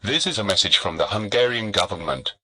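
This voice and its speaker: Man as official alert announcer, deep voice, very bright edge, formal scripted delivery. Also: lively intonation, a little fast, exaggerated voice, poor audio. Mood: urgent, formal. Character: government emergency alert announcer translating into English. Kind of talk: scripted emergency broadcast announcement.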